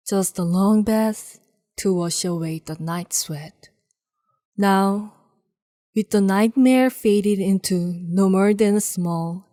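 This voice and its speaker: Mellow woman narrating slowly and deliberately. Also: mid-range voice, dry recording, studio quality. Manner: deliberate, measured phrasing with pauses. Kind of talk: scripted dramatic story narration.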